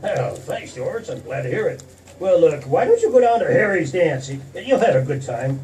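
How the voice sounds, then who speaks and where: A man's voice: on the high side, big pitch swings, moderate pace, darkish tone. An actor playing a weary, kindly, idealistic older father with a hesitant manner, in 1940s radio drama style. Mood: gentle, hesitant. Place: theater stage. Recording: distant stage pickup with faint noise.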